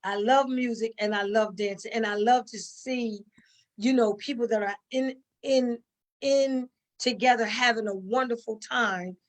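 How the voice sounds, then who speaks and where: Older woman with a higher voice, folksy. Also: fairly even intonation, a little slow. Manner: folksy, rambling, emphatic repetition. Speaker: interview guest, stage actor. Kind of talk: casual storytelling chat.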